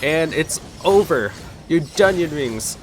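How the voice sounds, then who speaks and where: A man's voice: on the high side, animated, slow, noisy background. A man giving casual gameplay commentary, talking to the game as he plays. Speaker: let's play host. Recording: game music behind the voice. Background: video game music and game audio.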